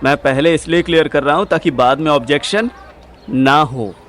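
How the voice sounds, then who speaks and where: A man's voice: on the high side, normal speed, a bit bright, natural voice. An actor playing a cheeky, carefree young man in an audio drama, teasing and slangy. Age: young adult.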